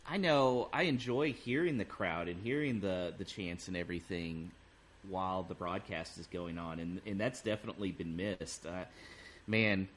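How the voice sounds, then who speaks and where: A man from Texas with a fairly low voice, chatting over a video call. He sounds easygoing and keen as he talks about his team. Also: normal speed, slight background noise. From Texas, USA. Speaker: guest fan. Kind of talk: casual interview chat.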